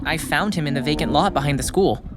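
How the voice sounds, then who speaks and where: A woman's voice: low-pitched, quick pace, noisy background, natural voice. A woman acting the part of an anxious, protective schoolkid with a secret pet in an audio drama, speaking hesitantly. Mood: earnest, sheepish. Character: Nico, earnest anxious schoolkid hiding a pet baby dragon. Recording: music bed behind the voice.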